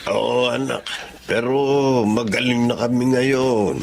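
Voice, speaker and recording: A man's voice: mid-range voice, steady tone, takes it slowly, vocal fry, slight background noise. An actor playing a mild, weary elderly Filipino grandfather in a Tagalog radio drama. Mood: mild, earnest. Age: older.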